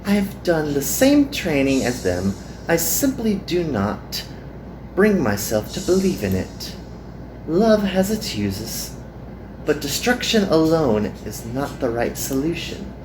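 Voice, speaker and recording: A man's voice: a little high, big pitch swings, pitched above the performer's own voice. Man putting on a haughty, teasing seductress in roomy echo. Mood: earnest. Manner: taunting, condescending, drawn-out. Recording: roomy echo, some background noise.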